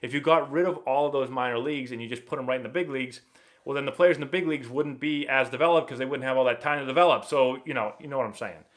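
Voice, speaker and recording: A young man hosting a live baseball stream, chatting casually and rambling excitably as he answers viewer questions. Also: mid-range voice, quick pace. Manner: rambling and excitable, many fillers and restarts.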